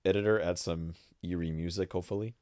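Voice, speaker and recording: A man's voice: low voice, fairly even intonation, quick pace, mellow, no echo, clean studio sound. A man co-hosting a casual podcast with playful, teasing banter. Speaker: podcast co-host. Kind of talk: casual group chat about pop culture.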